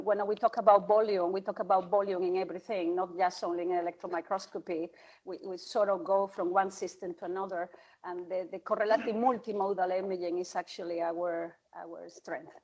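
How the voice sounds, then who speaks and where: A woman's voice: on the low side, darkish tone, lo-fi sound. A woman in her forties who runs an imaging facility, introducing herself plainly on a panel and sometimes searching for words. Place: conference hall at a forum.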